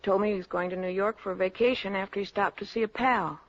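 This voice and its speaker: A woman's voice: medium pitch, steady tone, fast, lightly acted. An actress playing an earnest, direct female carnival press agent in a 1940s radio drama. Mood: helpful, thoughtful. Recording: muffled 1940s radio recording.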